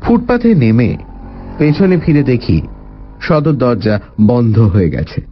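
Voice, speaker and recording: A man's voice: mid-range voice, sing-song, slow, highs cut off, a little hiss or hum. A man narrating a detective story in the first person as a dramatized storybook reading.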